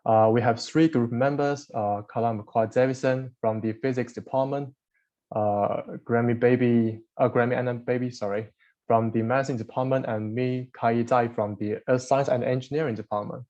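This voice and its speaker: A man's voice: medium pitch, fairly even intonation, moderate pace, a little mellow. A young man giving a formal student presentation over a video call. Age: young adult. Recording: dull, band-limited video call audio.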